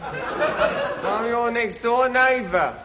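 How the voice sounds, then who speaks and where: An actor playing a cheeky, gloomy know-all neighbour with a London accent in a 1950s British radio sitcom. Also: male voice, very high voice, huge pitch swings, extremely slow, over a noisy crowd, full character voice. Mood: chirpy, cadging. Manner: drawling, intrusive, pessimistic. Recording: muffled old radio, loud hiss, studio audience.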